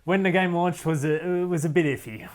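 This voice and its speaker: A man's voice: a little high, fairly quick. A male gaming show co-host talking casually in a review conversation. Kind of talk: casual game review chat.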